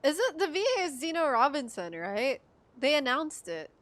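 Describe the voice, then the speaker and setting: A woman's voice: sits high, very animated, moderate pace, a bit bright. A woman streamer chatting casually and expressively as she reacts to a game she is playing. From Philippines.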